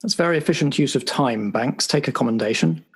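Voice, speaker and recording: A man's voice: medium pitch, fairly quick, breathy edge. A man playing a stern, bureaucratic spy boss chairing a debriefing in an improvised audio roleplay. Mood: brisk, official. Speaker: game master.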